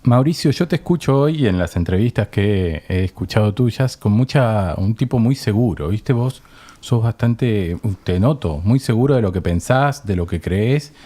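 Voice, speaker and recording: A man's voice: fairly low pitch, animated, moderate pace, warm tone. A man with a Rioplatense Argentine accent interviewing in a casual, reflective way, building his questions gradually and tentatively. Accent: Rioplatense Argentine Spanish.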